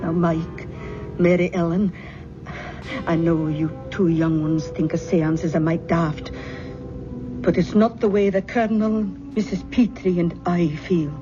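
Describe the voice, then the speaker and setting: An actress playing an earnest older Scottish aunt who holds seances, in an old radio horror drama. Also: female voice, on the low side, fairly even intonation, a little slow, close to an everyday voice. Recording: dull old radio, music behind.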